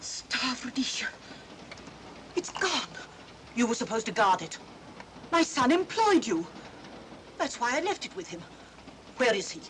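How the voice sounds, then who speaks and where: An imperious older titled lady in a 1940s film, distressed and accusing. Her voice is fairly high with big swings, over a faintly noisy soundtrack. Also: big pitch swings, measured pace, long pauses, a bit bright. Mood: agitated, accusing. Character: imperious, distressed older aristocratic British mother.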